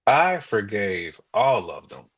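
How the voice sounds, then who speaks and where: A man's voice: on the low side, sing-song, slow pace, breathy edge. A man in a casual, opinionated chat, speaking colloquially and emphatically and repeating his points.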